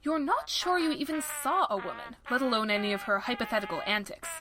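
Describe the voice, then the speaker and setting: A woman's voice: a little high, expressive, fast talker, a crowd in the background, natural voice. A woman acting as a wry, playful, mock-formal narrator of a web audio drama, reading scripted narration. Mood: intrigued. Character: wry, playful storybook-style female narrator. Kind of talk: scripted second-person narration.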